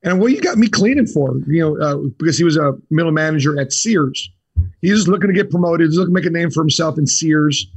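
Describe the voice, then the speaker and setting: Husky guy on a podcast, kinda high voice, short punchy lines, dry sound. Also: fast talker, no echo. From United States. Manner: steady, speaks in short punchy phrases. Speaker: guest. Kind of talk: casual interview.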